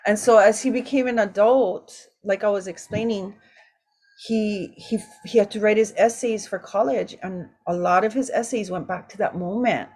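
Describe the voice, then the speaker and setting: Woman in her forties, roundtable host, heartfelt storyteller, everyday mid pitch. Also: moderate pace. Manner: warm, emotional storytelling with Hawaiian words mixed in. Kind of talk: casual talk story.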